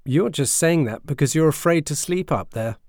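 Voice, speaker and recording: A man's voice: high-pitched, very animated, measured pace, vocal fry, a little mellow, dry recording, voice pushed up, exaggerated voice. Man voicing a sly bullied boy, needling, raised voice, dry close sound.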